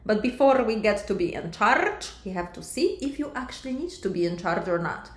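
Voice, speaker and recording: A middle-aged woman with a Polish accent speaks as a life coach, addressing her listeners directly and fondly. Her pitch sits in an ordinary middle range. The recording carries a roomy echo. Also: very animated, a little fast. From Poland. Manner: warm, direct, addresses viewer as sister and my love. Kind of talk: coaching monologue to camera.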